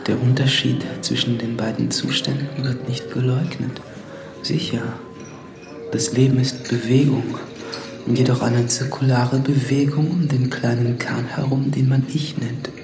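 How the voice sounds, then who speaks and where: A man's voice: mid-range voice, flat delivery, vocal fry. Echoey bleak philosophizing man. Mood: bleak, certain. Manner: aphoristic, measured. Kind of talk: literary radio drama monologue. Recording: echoey voice under loud music.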